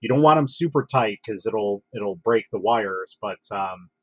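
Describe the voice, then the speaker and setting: A man hosting a casual livestream, congested from a head cold, talking in a relaxed, halting way with lots of fillers and restarts. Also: lowish voice, husky, dry recording. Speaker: livestream host. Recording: dull, dry lo-fi home stream audio.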